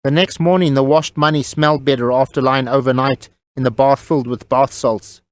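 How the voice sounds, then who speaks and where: Male audiobook narrator, mid-pitched, scripted storytelling delivery, close and dry recording. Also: fairly even intonation, brisk, no room sound.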